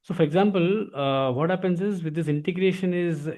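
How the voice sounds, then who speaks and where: A man's voice: sits high, brisk, a little mellow. A man with an Indian accent giving an informal explanatory lesson as an instructor, often tagging sentences with filler words. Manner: repeats filler tags like "actually".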